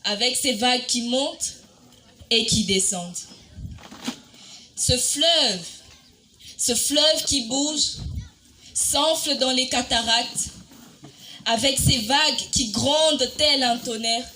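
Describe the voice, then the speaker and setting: A woman reciting a poem in a dramatic spoken-word style, solemn and declamatory, with deliberate pauses. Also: sits high, pushed and bright, a little hiss or hum, low-quality recording. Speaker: poetry reciter. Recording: music bed under the voice. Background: music.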